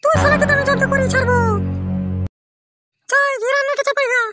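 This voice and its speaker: A woman's voice: very high voice, little pitch movement, a little fast, over music, pitched above the performer's own voice. A woman voicing a foul-mouthed, fierce young wife who threatens her husband in a comic cartoon. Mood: threatening.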